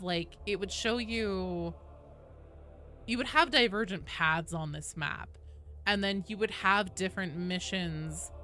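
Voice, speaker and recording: A woman's voice: mid-range voice, bright, forceful tone. A woman doing casual live game commentary as a streamer, chatty, exclamatory and freely swearing. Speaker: game livestreamer.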